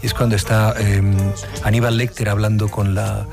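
A man's voice: lowish voice, flat delivery, normal speed, gravelly. A middle-aged man, chatting casually as a radio host. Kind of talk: casual interview chat. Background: background music.

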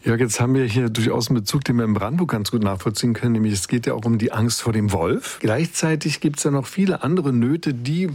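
A man's voice: mid-range voice, fast, few pauses, a little mellow, dry recording. A man hosting a radio film show, introducing clips and asking the critic questions. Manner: clear, guiding questions. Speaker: radio host.

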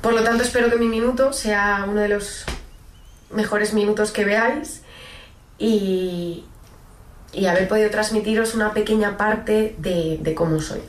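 A woman's voice: medium pitch, fairly even intonation, a little slow. A woman, an actress earnestly presenting herself in an audition clip. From Madrid, Spain. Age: late 20s. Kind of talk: earnest self-presentation to camera. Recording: roomy, distant, noisy self-recorded clip.

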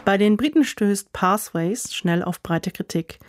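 A woman giving a scripted news narration in a measured, dry reporting tone. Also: medium pitch, lively intonation, dry recording, soft background music.

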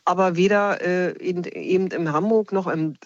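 A woman's voice: lowish voice, steady tone, normal speed, no room sound. Woman, Berlin accent, radio caller, hesitant, filler-heavy, muffled phone line. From Berlin, Germany. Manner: hesitant and chatty, full of filler sounds. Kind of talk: casual call-in chat.

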